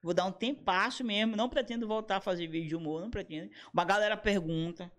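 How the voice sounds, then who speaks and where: A man in his thirties, a comedian and motion designer, chatting casually in a slangy, animated way with quoted asides. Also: high-pitched, fast, a crowd in the background. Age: 30s. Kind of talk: casual podcast conversation.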